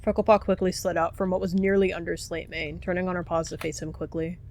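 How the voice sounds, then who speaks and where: A woman's voice: lowish voice, little pitch movement, fast, a little mellow. A woman reading scripted story narration for an animal fantasy roleplay.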